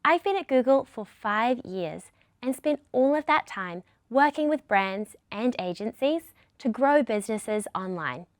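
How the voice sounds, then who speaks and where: A woman's voice: a little high, lively intonation, unhurried, no room sound, clean studio sound. A woman with a New Zealand accent giving a scripted business training presentation as a product lead.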